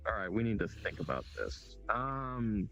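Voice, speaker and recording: A man in a voice-chat roleplay talks casually and with energy, his very deep voice gravelly and swinging widely in pitch. The line sounds dull and lo-fi, with faint music behind it. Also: sing-song, measured pace, a bit bright. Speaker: roleplay player.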